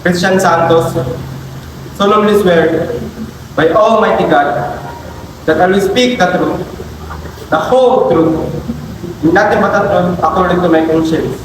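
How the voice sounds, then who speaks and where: A man's voice: sits high, a little slow, long pauses. A young man with a Filipino accent playing a formal, firm police witness in an amateur courtroom drama, recorded in an echoey, noisy hall. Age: young adult. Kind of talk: amateur stage courtroom drama, scripted delivery.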